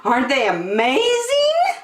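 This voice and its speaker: A woman's voice: high-pitched, huge pitch swings, takes it slowly, reverberant, voice pushed up, full character voice. A woman voicing a playful, curious young mermaid girl in a children's storybook, exclaiming with wonder. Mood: amazed.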